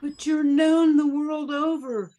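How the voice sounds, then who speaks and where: A woman's voice: sits high, steady tone, slow pace, dark tone. A woman playing a practical, bossy but reassuring literary agent in a radio drama. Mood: concerned. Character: brisk, practical female literary agent and boss.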